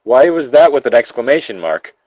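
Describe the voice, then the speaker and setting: A man's voice: mid-range voice, big pitch swings, a little fast, a little mellow, dry recording, muffled recording. A man co-hosting a casual read-along of internet horror stories, jokey and given to swearing between lines. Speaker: co-host reading internet horror stories aloud. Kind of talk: casual story read-along with joking asides.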